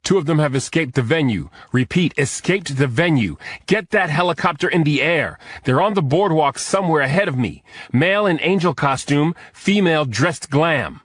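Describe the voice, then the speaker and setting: A man's voice: fairly high pitch, expressive, moderate pace, fairly bright tone, no echo, studio quality, pitched above the performer's own voice. A man voicing an urgent, commanding investigator in a thriller audiobook, barking breathless orders mid-pursuit.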